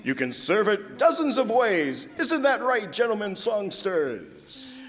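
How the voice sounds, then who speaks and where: A man's voice: high-pitched, wildly swooping pitch, takes it slowly, fairly bright tone, full character voice. A man playing an enthusiastic, theatrical announcer in a 1940s-style radio commercial. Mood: eager selling. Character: perky old-time radio pitchman selling canned meat. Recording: echoey hall stage, faint noise.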